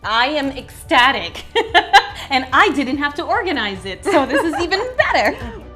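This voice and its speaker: A woman hosting a show, talking casually in an effusive, expressive way. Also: high voice, unhurried. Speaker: cooking show host. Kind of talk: casual lifestyle show chat. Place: home kitchen, indoors. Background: background music.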